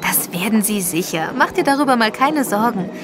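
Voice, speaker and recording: A woman's voice: medium pitch, animated, normal speed, close to an everyday voice. A woman playing a kind, reassuring young woman in a radio drama. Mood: cheerful, reassuring. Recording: clear background ambience noise.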